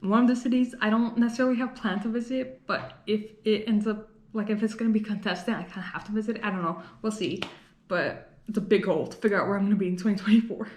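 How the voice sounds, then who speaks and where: A woman's voice: medium pitch, fairly even intonation, fast talker, a little mellow, roomy sound. A young woman from Los Angeles talking casually to her camera in a rambling, chatty vlog, full of fillers and little laughs. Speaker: vlogger. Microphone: camera mic.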